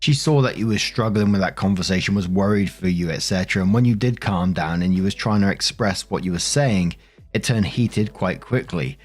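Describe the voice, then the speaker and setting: A man's voice: sits low, brisk, a little mellow, faint music behind. A man narrating online stories and commenting on them in a conversational, opinionated way. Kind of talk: reading stories aloud with casual commentary.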